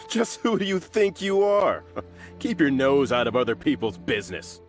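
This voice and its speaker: A man's voice: mid-range voice, sing-song, measured pace, faint music behind. A male actor playing a menacing henchman in a video game, with a mocking, taunting, threatening delivery. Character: sneering, menacing male henchman of a crime boss. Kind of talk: English video game voice acting.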